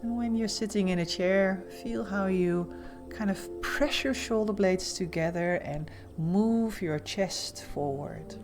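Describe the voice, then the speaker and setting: A woman leading a guided meditation as an instructor, giving gentle, soothing, softly spoken instructions with pauses. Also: medium pitch, over music.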